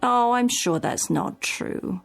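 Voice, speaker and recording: A woman's voice: on the high side, slow, gravelly, soft background music. A woman playing a protective private nurse in a radio drama, firm and solicitous in manner. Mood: reassuring.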